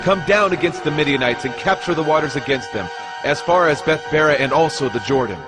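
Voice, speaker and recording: A man's voice: a little high, lively intonation, a little fast, close to an everyday voice. A man playing a bold, commanding Israelite war leader in a dramatized audio Bible, speaking in declamatory tones. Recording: voice over background music.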